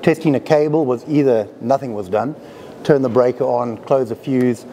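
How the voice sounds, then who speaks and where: A middle-aged man who grew up in South Africa, a company president giving a relaxed conference interview and explaining technical matters step by step with some self-deprecating humor. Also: medium pitch, moderate pace, a little hiss or hum. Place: conference hall.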